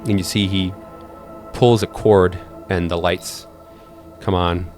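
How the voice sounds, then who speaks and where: Low-voiced man narrating haltingly. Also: steady tone, darkish tone, soft background music. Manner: halting, hesitant, measured storytelling. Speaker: game master. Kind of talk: improvised tabletop game narration.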